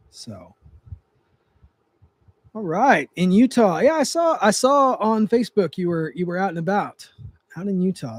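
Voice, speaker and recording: A young man with a fairly high voice chats loosely to his livestream viewers from a chair at home, often restarting and trailing off with fillers. His voice is getting slightly dry. The close mic gives a dry, unreverberant sound. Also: a little mellow, no room sound. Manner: rambling, with frequent restarts and fillers. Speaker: livestream host, trigger tuner. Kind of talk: casual livestream chat.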